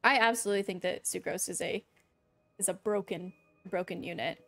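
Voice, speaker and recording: A woman's voice: mid-range voice, brisk, gravelly, fairly bright tone, no room sound, soft background music. Dry-sounding chatty woman streamer. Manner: chatty, reacts playfully to the game. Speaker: game livestreamer. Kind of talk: casual live gameplay commentary.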